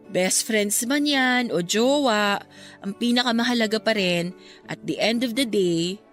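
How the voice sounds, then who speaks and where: A young Filipino woman in a radio love drama. Her voice sits fairly high and carries gratitude with a wistful, earnest edge. Soft music plays beneath her. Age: young adult. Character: grateful, wistful young woman, newly graduated, missing her late love.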